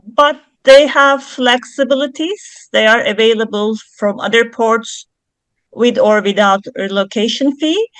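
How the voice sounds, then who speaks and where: A woman's voice: fairly high pitch, no echo. A woman from Turkey, presenting her company's yachts on a video call, speaking carefully with frequent fillers. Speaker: yacht charter company representative.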